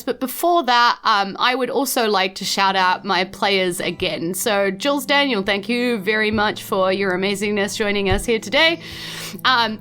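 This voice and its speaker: A woman's voice: medium pitch, pushed and bright. A woman with a New Zealand accent hosting a tabletop game as game master, chatting casually and giggly. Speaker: game master and podcast host. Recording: music behind the voice.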